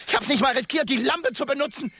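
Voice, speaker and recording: A man's voice: very high-pitched, fast talker, creaky, pushed and bright, full character voice. An actor playing a tense, defiant man in a jungle outpost in a radio drama, talking tersely and conversationally. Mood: wary, defiant. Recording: faint jungle insect noise behind.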